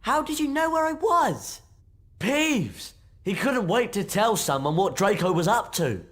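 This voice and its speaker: Animated British schoolboy wizard. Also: male voice, high-pitched, measured pace, heavily acted. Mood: relieved, hushed. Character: earnest, plucky schoolboy wizard hero.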